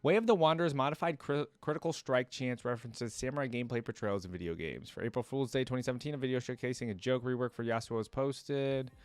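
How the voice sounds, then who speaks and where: A man's voice: medium pitch, fast talker, few pauses, no room sound. A man making a reaction video, chatty and thinking aloud as he reads text out and comments casually.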